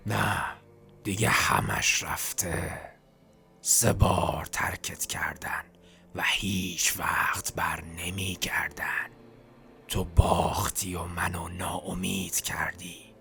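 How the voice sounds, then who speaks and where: Guy doing a super deep gravelly whisper-voice in your head, sneering and cruel, faint music under it. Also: pushed and bright, heavily acted. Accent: Persian. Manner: scornful, needling, mocking. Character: taunting voice in the detective's head, male, cruel and mocking. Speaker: voice actor in a fan dub. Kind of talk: whispered game dub acting.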